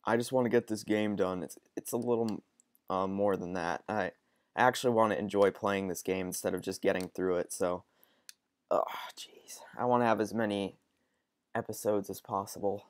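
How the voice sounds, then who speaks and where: Stuffy young guy w a cold, kinda low voice, dry close sound, umming thru a let's play. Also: fairly even intonation, normal speed, no room sound. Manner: rambling, hesitant, lots of ums. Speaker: gaming channel host. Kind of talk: casual let's-play commentary.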